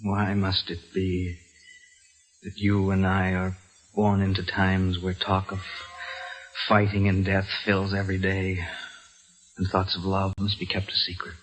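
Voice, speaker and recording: An actor playing a brave, earnest young colonial volunteer who speaks formally in an old-time radio drama. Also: male voice, low voice, monotone, a little slow, rough recording. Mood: lovelorn. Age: young adult.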